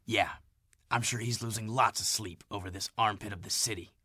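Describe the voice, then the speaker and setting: An actor playing a tough, vengeful young rebel hero in a video game, blunt and sardonic, with a husky low voice. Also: male voice, fairly even intonation, fairly quick, sharp tone, dry recording. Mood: dismissive. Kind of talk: video game cutscene acting.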